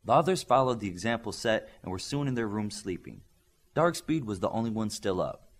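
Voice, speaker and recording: A man's voice: fairly low pitch, sing-song, fairly quick. A man narrating scripted fiction as an audiobook reader.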